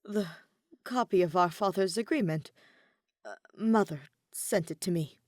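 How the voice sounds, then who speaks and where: A woman's voice: on the low side, measured pace, darkish tone, dry recording, studio quality, close to an everyday voice. A woman voicing an earnest, irritable young nobleman in an audiobook story, hesitant and stumbling when flustered.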